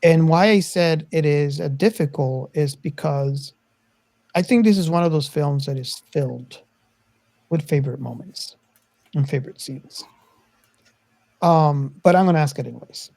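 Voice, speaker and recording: A man hosting a casual film discussion podcast, speaking in a measured, hesitant, roundabout way. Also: a little high, gravelly, a little mellow, dry recording. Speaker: podcast host.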